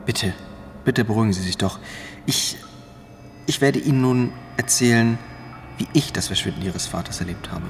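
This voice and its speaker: Man acting a haunted, deep-voiced man with secrets. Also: background noise, close to an everyday voice. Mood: grave, earnest. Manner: halting, ominous storytelling with dramatic pauses. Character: haunted, guilt-ridden older man, fearful and grave. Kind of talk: German audio drama acting.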